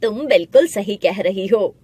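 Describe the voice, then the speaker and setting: Woman playing a loud, scolding greedy village man. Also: medium pitch, expressive, a little slow, a little hiss or hum, lightly acted. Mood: agreeing. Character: greedy bullying village man, cruel younger landowner. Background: background music.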